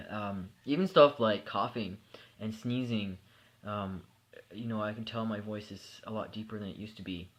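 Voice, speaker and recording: A young man chatting candidly in a casual personal vlog, relaxed and sleepy, with many fillers and restarts. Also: on the low side, moderate pace. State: sleepy at bedtime. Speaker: vlogger.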